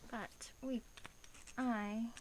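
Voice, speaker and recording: A woman plays a female vampire captor in an ASMR roleplay, with a slightly high, soft voice that often drops to a whisper. She speaks very slowly and hesitantly, coaxing gently with a polite threat underneath. A faint noise sits behind the voice. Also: fairly even intonation. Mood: coaxing, matter-of-fact.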